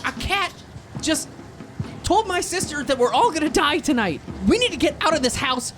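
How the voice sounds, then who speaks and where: A woman's voice: high voice, lively intonation, moderate pace, background noise. A woman playing a protective, exasperated teenage Boy Scout in an improvised horror roleplay. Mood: alarmed.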